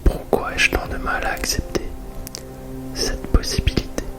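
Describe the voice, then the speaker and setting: Casting: man playing a calm, introspective starship pilot, hushed deliberate whisper, sci-fi ASMR roleplay with clear music bed. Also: close to an everyday voice. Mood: pensive. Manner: soft, deliberate, hushed delivery. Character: calm, introspective male spaceship pilot and scientist.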